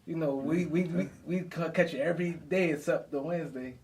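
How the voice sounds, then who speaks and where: A man's voice: a little high, fairly quick, a little mellow, far from the mic. A man co-hosting a podcast, casual and loose, rambling in street slang. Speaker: podcast co-host. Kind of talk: casual interview chat.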